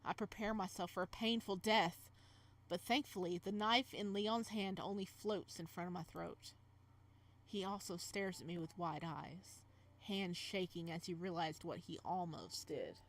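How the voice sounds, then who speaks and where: A woman's voice: lowish voice, measured pace, slight background noise. A woman reading as the flustered young male protagonist of a romance visual novel, in dramatic first-person narration. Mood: tense.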